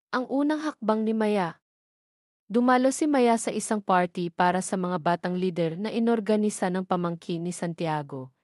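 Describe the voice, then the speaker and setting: A woman narrates a scripted drama in a mid-pitched voice. The sound is dry and close, with the delivery of an audio storyteller. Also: darkish tone, no room sound.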